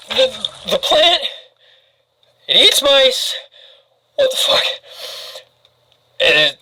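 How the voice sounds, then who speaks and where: A man's voice: fairly high pitch, pushed and bright, exaggerated voice, poor audio. A man playing a brash, curious young guy who talks in a slangy, offhand way, as if vlogging. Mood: startled, curious. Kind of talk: casual vlog-style acting.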